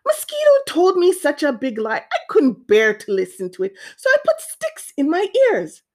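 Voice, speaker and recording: A woman's voice: sits high, huge pitch swings, moderate pace, a little mellow, voice pushed up. A woman voicing a flustered iguana in a storybook, protesting its innocence emphatically.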